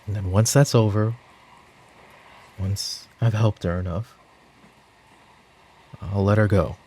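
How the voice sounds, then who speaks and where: A man playing a stern, controlling middle-aged mafia boss and protective father in an ASMR roleplay, with commanding, deliberate, menacing delivery. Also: on the low side, fairly even intonation, warm tone, husky. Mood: firm, warning.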